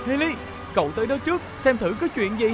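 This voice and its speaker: A woman's voice: fairly high pitch, big pitch swings, quick pace. A woman voicing a confident young rescue robot car in a children's cartoon dub. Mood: brisk, commanding. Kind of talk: dubbed children's cartoon acting. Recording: loud music under dialogue.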